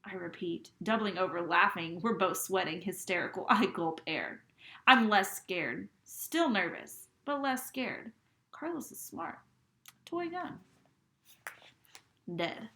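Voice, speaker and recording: Woman reading a novel aloud, expressive. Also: mid-range voice, slow, echoey room. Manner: expressive, dramatic first-person reading. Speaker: reader.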